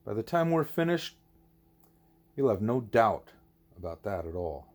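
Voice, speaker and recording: Man reading as a deliberate, emphatic gorilla teacher. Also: lowish voice, big pitch swings, darkish tone. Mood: emphatic, grave. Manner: deliberate, didactic, emphatic on key words.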